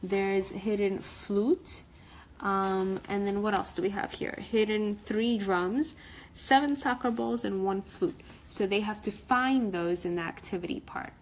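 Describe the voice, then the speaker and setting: A woman's voice: medium pitch, measured pace, a little hiss or hum. A woman storyteller reading a picture-book story aloud for children.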